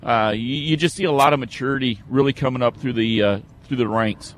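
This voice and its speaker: A man's voice: medium pitch, brisk, slight background noise. A man co-hosting a sports radio show in a folksy, casual, conversational way.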